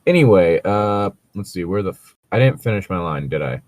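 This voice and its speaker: A man's voice: sits low, lively intonation, fairly quick, a little mellow. A man reading fan fiction aloud with casual, exasperated, sarcastic, sweary comic commentary.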